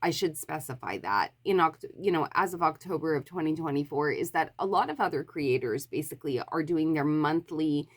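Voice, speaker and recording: A woman with a cold, slightly nasal, chatting casually to camera as a book vlogger. She rambles, corrects herself and fills pauses with ums. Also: deep voice, animated. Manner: rambling, self-correcting, many fillers. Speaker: book YouTuber.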